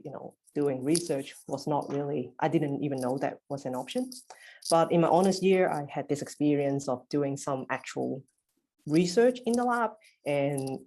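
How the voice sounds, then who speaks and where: Brief: female researcher from Hong Kong, thirties, low voice, informal and chatty panel introduction. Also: dark tone. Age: 30s. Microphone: video call.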